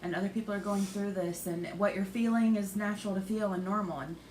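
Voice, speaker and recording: A woman's voice: medium pitch, steady tone, darkish tone. Supportive woman chatting in echoey room. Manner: supportive, giggly, talks fast. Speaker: guest. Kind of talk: casual chat. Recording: echoey room with faint noise.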